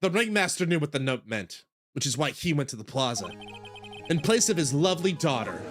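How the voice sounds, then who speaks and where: A man's voice: on the high side, very animated, fairly quick, music in the background. A man voicing an earnest young male defense lawyer in a video game, explaining firmly.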